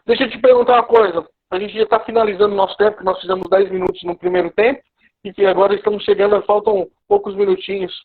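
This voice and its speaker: A man hosting a live online interview, asking questions in a relaxed, conversational way. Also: high voice, fast talker, vocal fry. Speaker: host. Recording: phone-like lo-fi call audio.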